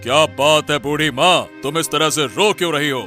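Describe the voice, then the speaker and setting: A male actor plays a commanding cartoon king. His voice is slightly high and bright, full of firm questions, with faint music behind it. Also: normal speed. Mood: concerned. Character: authoritative king, questioning and concerned. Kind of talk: animated Hindi cartoon story acting. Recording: music under the voice.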